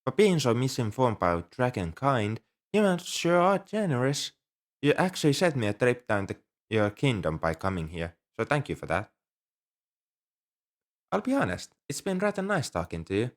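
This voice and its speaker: A man's voice: medium pitch, big pitch swings, normal speed, natural voice. A man playing an ancient, polite, nerdy dragon who collects coins, speaking earnestly and a little primly to the listener in an ASMR roleplay. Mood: proud, fond, then friendly, shy. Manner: polite, earnest, slightly prim and scholarly. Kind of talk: ASMR roleplay, one-sided dialogue with the listener.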